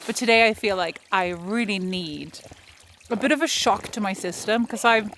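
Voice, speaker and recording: A woman's voice: mid-range voice, normal speed, a bit bright, a little hiss or hum. A woman in her thirties whose first language is Swedish, chatting casually in a vlog outdoors in the freezing cold, laughing often. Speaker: vlogger.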